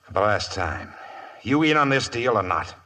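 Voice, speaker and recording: Casting: domineering American outlaw boss for radio western; low, hard-edged voice, curt and menacing. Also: male voice, very animated, normal speed, bright, forceful tone, full character voice. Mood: threatening. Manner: curt, threatening, bossy. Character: gruff, domineering male outlaw gang leader planning a robbery. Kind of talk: 1950s radio western acting.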